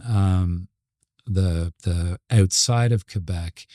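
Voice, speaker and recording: A middle-aged man from Montreal with a Canadian accent, talking casually and reflectively as an interview guest, with halting phrasing. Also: sits low, warm tone, no echo, studio quality. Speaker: advertising creative executive, interview guest.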